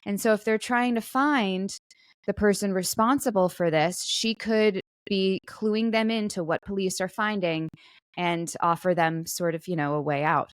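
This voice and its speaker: Female podcast co-host, casual and musing, medium-pitched voice, very dry close recording. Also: unhurried, dry recording. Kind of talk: casual chat.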